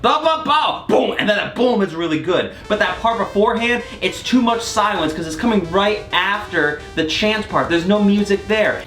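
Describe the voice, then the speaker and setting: Animated man reviewing songs, echoey room. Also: sits high. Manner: animated, opinionated. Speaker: music review host. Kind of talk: casual music review chat. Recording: roomy echo with music underneath. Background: music playing.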